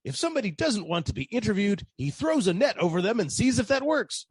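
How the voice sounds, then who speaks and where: A man's voice: sits high, very animated, a little fast, husky, dry recording, exaggerated voice. A man playing a brash ad agency boss in a comic radio play, with theatrical salesman's patter. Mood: eager pitching.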